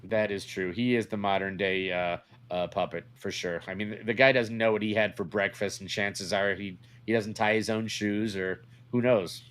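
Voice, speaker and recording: A man's voice: on the low side, fairly even intonation, normal speed, hardly any pauses, fairly bright tone. A man co-hosting a podcast in a casual, opinionated conversational style. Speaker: podcast co-host.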